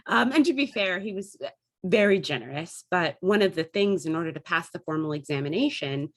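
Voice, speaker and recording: A woman's voice: fairly low pitch, animated, fairly quick. A woman chatting casually as an interview guest, explaining things in a talkative way and thinking aloud as she goes. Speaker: guest, theatre artist and classical actor.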